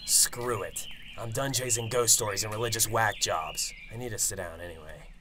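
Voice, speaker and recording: A man playing a cynical, sarcastic detective in an amateur radio drama, with a gruff, sardonic manner. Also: fairly low pitch, normal speed, a crowd in the background, close to an everyday voice. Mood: sardonic, weary. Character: cynical, sarcastic Chicago detective, a worn father.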